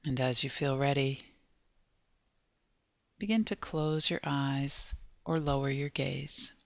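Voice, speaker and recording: Female meditation guide: gentle, unhurried delivery with long pauses, noticeable vocal fry. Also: rumbling low, fairly even intonation, no echo, clean studio sound. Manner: gentle, measured, long pauses between short phrases. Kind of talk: guided body scan meditation.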